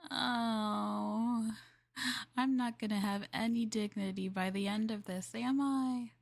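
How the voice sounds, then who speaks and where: Slow-talking playful woman in maid roleplay. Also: on the high side, steady tone, vocal fry. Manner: playful, teasing and politely formal. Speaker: VTuber streamer playing a maid. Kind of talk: roleplay ASMR live stream chatting with viewers.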